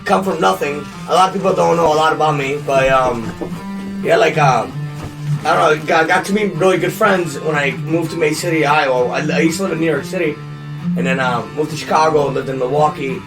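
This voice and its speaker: A young man from Pakistan with a high, light voice, recalling a friend in a rambling, heartfelt way. He sits back from the mic, and guitar music plays clearly under his voice. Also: fairly quick, far from the mic, poor audio. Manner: rambling, heartfelt, lots of "dude" and "like". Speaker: podcast guest. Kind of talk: casual chat.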